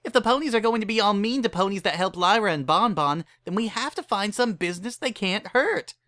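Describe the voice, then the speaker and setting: A narrator voicing an excitable, cheerful young female party pony in a bubbly, insistent manner. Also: mid-range voice, a little fast, no echo, clean studio sound, voice pushed up, natural voice. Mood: eager, bubbly.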